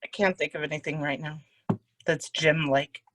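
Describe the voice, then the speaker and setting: A woman's voice: deep voice, flat delivery, moderate pace, fairly bright tone, a little hiss or hum, low-quality recording. A woman co-hosting a casual podcast, tossing in short teasing jokes. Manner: teasing, playful quips. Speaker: podcast co-host. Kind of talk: casual chat.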